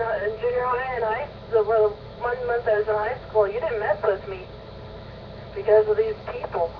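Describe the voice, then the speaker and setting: A woman chatting casually and telling personal stories over a scratchy two-way ham radio. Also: medium pitch. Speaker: amateur radio operator. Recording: distant, muffled, noisy mobile radio.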